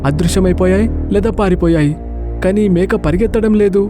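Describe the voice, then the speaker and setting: A man voicing a quick, high-voiced wary wolf. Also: over music, close to an everyday voice. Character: wary, hungry male wolf hunter. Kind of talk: animated story dialogue.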